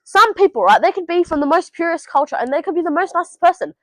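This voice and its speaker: A woman's voice: very high voice, lively intonation, fast, dry recording. A teenage woman, giving blunt, sweary advice straight to camera in a casual vlog recorded at home. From Australia. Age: teens. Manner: blunt, swears freely, talks straight to the viewer. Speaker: vlogger.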